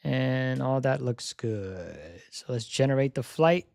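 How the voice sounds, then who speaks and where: A young man chats casually on a live stream while setting up a flight, thinking aloud as he goes. His voice is slightly hoarse with a gravelly edge, and the mid-pitched delivery sounds close and dry, with no room around it. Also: lively intonation, slow pace, darkish tone, dry recording. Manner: repeats "yep" while checking items.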